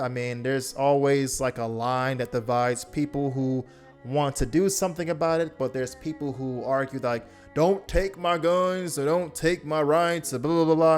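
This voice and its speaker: A man's voice: on the high side, steady tone, normal speed, faint music behind. Male reaction host, casual slangy delivery, frank opinions. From United States. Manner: laid-back, slangy, frank. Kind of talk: casual commentary.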